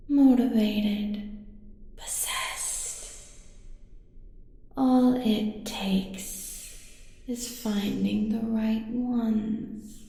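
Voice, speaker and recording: A woman voicing an ancient, cruelly manipulative dark entity in an audio roleplay, speaking deliberately and menacingly, at times in whispers. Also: medium pitch, gravelly. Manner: slow, deliberate, savouring menace, dropping into whispers. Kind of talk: scripted audio roleplay, ASMR drama. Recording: long cavernous reverb, faint music behind.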